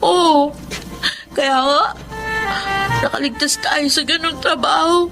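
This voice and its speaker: A woman's voice: high voice, fairly even intonation, slow, rough recording. A woman acting an earnest, emotional teenage granddaughter with a Filipino accent in a radio drama. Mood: bitter, tearful. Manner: pleading, polite to elders. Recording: radio drama with music underneath.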